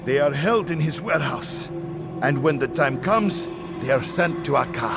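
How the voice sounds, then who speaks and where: Guarded informant under loud music. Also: male voice, on the high side, animated, moderate pace. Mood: wary. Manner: guarded, clipped answers. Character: guarded, fearful male informant under interrogation. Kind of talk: video game cutscene acting. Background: loud game music.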